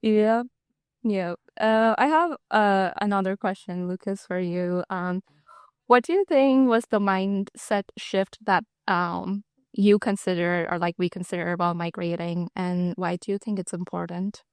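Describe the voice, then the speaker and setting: A woman's voice: mid-range voice, fairly even intonation, measured pace, clear, resonant tone, dry recording, studio quality. A young adult woman co-hosting a casual work chat, hesitant with lots of ums and likes. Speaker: co-host, marketing ops.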